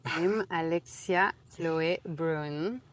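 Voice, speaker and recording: A woman in her thirties with a French accent, a guest in a relaxed interview, talking casually and full of fillers. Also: deep voice, extremely slow. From France. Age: 30s. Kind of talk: casual conversation.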